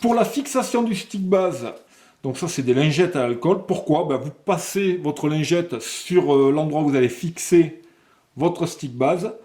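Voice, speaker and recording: A man presenting a product unboxing, explaining technical details step by step and sometimes halting to find words. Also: fairly high pitch, lively intonation, warm tone. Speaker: product presenter for a motorcycle accessories shop.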